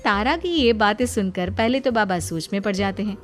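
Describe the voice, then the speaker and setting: A woman giving scripted storytelling narration for an animated moral story. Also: medium pitch, moderate pace, faint music behind.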